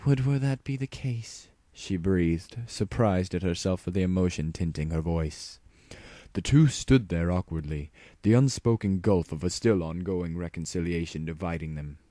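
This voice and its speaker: A man's voice: deep voice, normal speed, dark tone, dry recording, clean studio sound. A man narrating a story as a dramatic reading.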